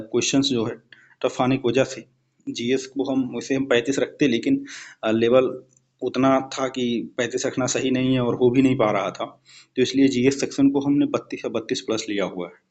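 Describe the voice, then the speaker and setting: Casting: young adult man, mid-pitched, informal explainer who drives points home; muffled, low-fidelity sound. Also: darkish tone. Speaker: exam-prep YouTuber and fellow aspirant. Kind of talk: informal explanatory exam analysis. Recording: dull lo-fi recording.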